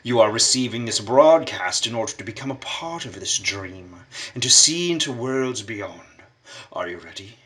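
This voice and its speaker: A man playing a mysterious announcer broadcasting from another dimension, portentous and deliberate in a dramatic radio show intro. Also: medium pitch, animated, sharp tone. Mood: ominous, inviting.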